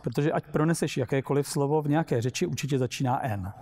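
A man's voice: medium pitch, darkish tone, close to an everyday voice. A man voicing a smug, literal-minded talking machine in a storybook reading, pedantic and matter-of-fact.

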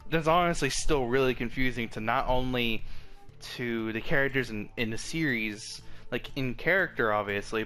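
A man's voice: mid-range voice, a bit bright. A young adult man giving a casual episode review as a voice-over, rambling with lots of fillers and swearing. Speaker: anime reviewer. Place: voice-over over gameplay footage.

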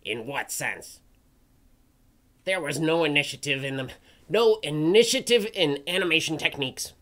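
A performer voicing an indignant, theatrical crow puppet in a dramatic reading, pompous and scornful with drawn-out emphasis. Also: mid-range voice, fairly bright tone, lightly acted. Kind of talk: dramatic reading of an old forum post.

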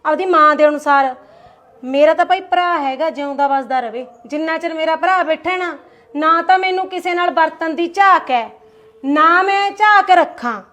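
A woman's voice: very high voice, moderate pace, fairly bright tone. A woman, acting an aggrieved sister-in-law who complains and taunts with rhetorical questions in a family skit. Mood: taunting. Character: aggrieved Punjabi aunt, taunting and sulky.

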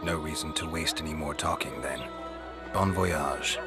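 An actor playing a stoic, curt monster hunter in a video game, with a terse, deadpan delivery. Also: male voice, a bass voice, unhurried, over loud music. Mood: curt, defiant. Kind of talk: video game character acting.

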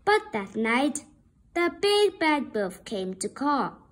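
A woman reading a children's story aloud with deliberate, expressive narration. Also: high-pitched. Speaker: storybook reader.

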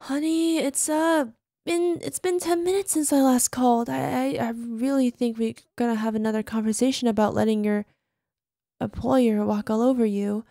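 A woman acts out an anxious, possessive girlfriend leaving voicemails in an ASMR roleplay. Her high female voice is soft-toned and mellow, recorded dry and close. Sugary pleading carries an undercurrent of controlled threat. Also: fairly even intonation, normal speed, no room sound, close to an everyday voice. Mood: worried, coaxing. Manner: sugary pet names, hesitant pleading sliding into controlled threat. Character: anxious, clingy, quietly menacing possessive girlfriend.

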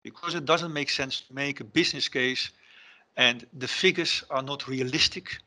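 A middle-aged Dutch-accented man presents over a headset on a video call. His voice has a bright, forward edge at a mid pitch. He pauses often with ums and restarts his sentences. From Netherlands. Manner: hesitant, many ums and restarts, non-native phrasing. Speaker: conference presenter, terminal IT director. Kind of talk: online conference presentation.

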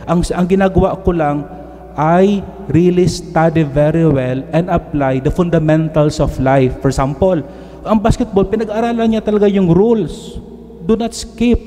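A man's voice: sits high, warm tone. A middle-aged Filipino man, a priest giving an earnest, emphatic homily at a stand mic in a large church, switching between languages. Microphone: stand mic at pulpit. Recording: reverberant church hall.

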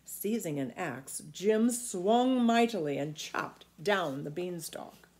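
A woman's voice: lowish voice, animated, takes it slowly, a little mellow. A middle-aged woman reading a children's storybook aloud expressively, recorded in a room at home. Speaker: storybook reader. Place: small indoor room at home.

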